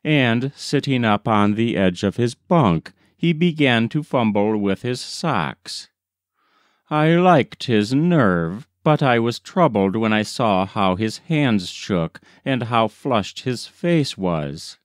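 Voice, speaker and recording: A man reads a short story aloud as an audiobook narrator. His pace is slow and his pitch moves expressively up and down. The recording is dry and close, with little room sound. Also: animated, no echo.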